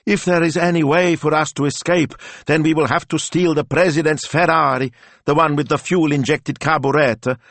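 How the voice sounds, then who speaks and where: A man's voice: fairly high pitch, fairly even intonation, moderate pace, a bit bright, no room sound, pitched above the performer's own voice. A man voicing a melodramatic young woman who declaims an overwrought film scene in an audiobook.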